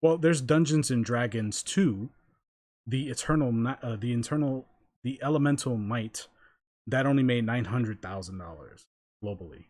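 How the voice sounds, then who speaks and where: A man hosting a casual group discussion, reading out details in a measured way. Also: mid-range voice, a little mellow, dry recording, studio quality. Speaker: podcast host.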